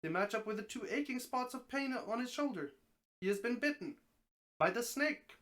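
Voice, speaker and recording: A streamer reading a story aloud as a dramatic narration, expressive, now and then stumbling over a word. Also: medium pitch, normal speed.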